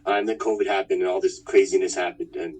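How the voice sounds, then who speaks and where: A man in his thirties from Manitoba, a fighter talking about his past in a relaxed, rambling way. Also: sits low, fast talker, breathy edge, recorded from a distance, low-quality recording. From Winnipeg, Manitoba, Canada. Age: 30s. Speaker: fighter, interview guest. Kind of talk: casual interview chat.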